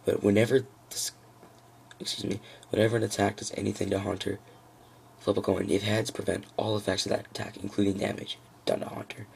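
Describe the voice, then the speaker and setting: Very low, gravelly man talking through cards. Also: little pitch movement, brisk, darkish tone, slight background noise. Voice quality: froggy, gravelly. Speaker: trading card hobbyist presenting a deck. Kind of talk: casual unscripted card-by-card walkthrough.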